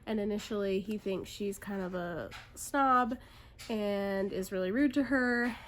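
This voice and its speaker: A woman's voice: medium pitch, measured pace, a little hiss or hum. A woman book vlogger talking casually and reading book blurbs aloud, a little congested in parts. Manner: chatty, upbeat, filler-heavy.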